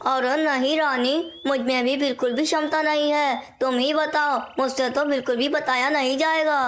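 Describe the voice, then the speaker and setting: Lady doing a sneaky male crow villain from a kids cartoon, high voice, exclaims a lot. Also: fairly even intonation, moderate pace, fairly bright tone, a little hiss or hum. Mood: feigned reluctance. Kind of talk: cartoon moral-story dialogue.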